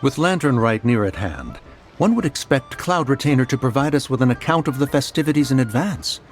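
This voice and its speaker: An actor playing a dignified ancient spirit in human form in a video game, speaking in formal, archaic phrasing. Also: male voice, medium pitch, lively intonation, fairly quick, natural voice. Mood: grave. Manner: formal, archaic, refers to self as one. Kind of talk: English video game dub acting. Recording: voice over background music.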